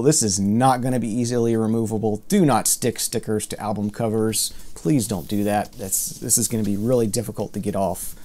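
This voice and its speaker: Mellow-voiced man reviewing records, rambling. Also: medium pitch. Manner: relaxed, rambling, many fillers and restarts, mildly exasperated. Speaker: vinyl collector vlogger. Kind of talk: casual product review to camera. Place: room with record shelves, indoors.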